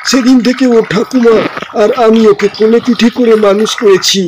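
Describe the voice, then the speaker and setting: A man's voice: extremely high pitch, fairly even intonation, moderate pace, a little hiss or hum, low-quality recording. A man voicing a devoted, earnest village fisherman and father in a cartoon. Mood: earnest, fond. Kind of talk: cartoon dialogue.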